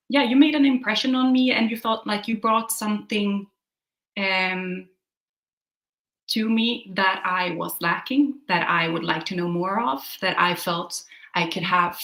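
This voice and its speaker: A young woman from Sweden, a Swedish native speaker, talking hesitantly with many pauses and fillers as a guest on a video call. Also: mid-range voice, creaky, fairly bright tone, reverberant, poor audio. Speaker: guest, new translator.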